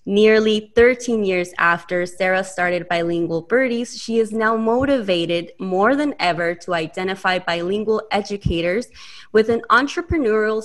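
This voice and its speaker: A woman hosting a podcast, upbeat, dropping Spanish phrases into her English. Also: medium pitch. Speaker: podcast host. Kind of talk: scripted podcast intro and interview.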